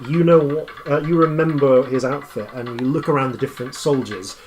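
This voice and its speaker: A man running a tabletop roleplaying game, improvising his narration in a halting way as game master. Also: mid-range voice, darkish tone, a little hiss or hum.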